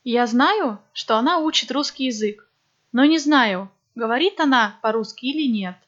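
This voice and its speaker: A woman reading scripted textbook dialogues for a language course, speaking slowly and clearly. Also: a little high, expressive, fairly bright tone. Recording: dull lo-fi audio.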